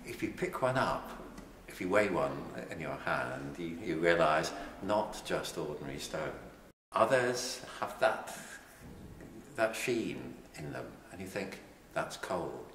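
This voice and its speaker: Slow, very low-voiced man in echoey hall. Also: lively intonation, a bit bright. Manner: measured, deliberate, with pauses and repeated words. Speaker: poet. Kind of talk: poetry reading and reflective introduction. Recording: echoey reverberant hall with background noise.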